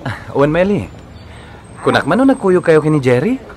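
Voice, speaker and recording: A man playing an evasive, animated young friend in an Ilocano radio drama, with an Ilocano accent, on an old recording. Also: fairly high pitch, moderate pace. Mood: teasing, surprised. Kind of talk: Philippine radio drama acting. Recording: noisy old recording with outdoor ambience.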